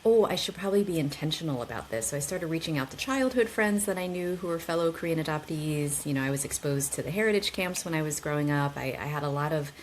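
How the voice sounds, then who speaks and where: A woman's voice: deep voice, animated, fast, few pauses, a little hiss or hum, poor audio. A woman in her forties, a guest chatting casually and animatedly with rambling asides. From United States. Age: 40s. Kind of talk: casual conversation.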